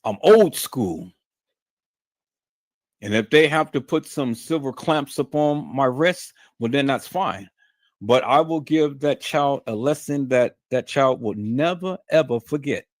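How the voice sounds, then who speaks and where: A man's voice: medium pitch, lively intonation, unhurried, no echo. A man hosting a live stream, talking straight to his viewers in an emphatic, preachy way and often repeating his words. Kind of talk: casual monologue to viewers.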